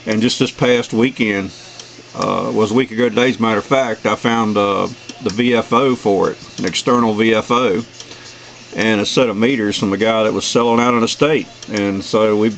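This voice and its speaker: An older American man with a fairly low voice talks casually as a radio operator. He rambles along in a folksy way, with steady noise clearly audible around him. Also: normal speed. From United States. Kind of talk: casual chat over the radio. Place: at a home base radio station. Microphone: desk radio microphone in the room.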